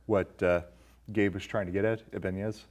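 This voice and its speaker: A man's voice: low-pitched, flat delivery, normal speed, darkish tone. A man, around forty, hosting a film review show and talking through ideas casually with a guest.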